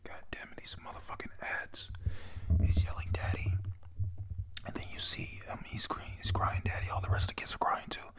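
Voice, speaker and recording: A breathy, whispered voice reading a comic chapter aloud and recapping it, halting over lines and adding casual, sweary, excitable asides. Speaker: narrator recapping a manga story.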